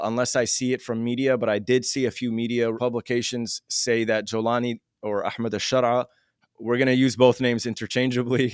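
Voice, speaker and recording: A man hosting an interview, setting out context and questions in a measured, explanatory way. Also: medium pitch, smooth, no room sound, clean studio sound. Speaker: interviewer, host.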